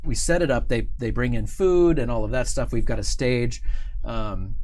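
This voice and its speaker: A man's voice: mid-range voice, expressive, normal speed, a little mellow. Enthusiastic man hosting, noisy background. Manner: enthusiastic, rambling, repeats himself. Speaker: host of a company livestream show. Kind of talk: casual unscripted chat and interviewing. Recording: clearly audible background noise.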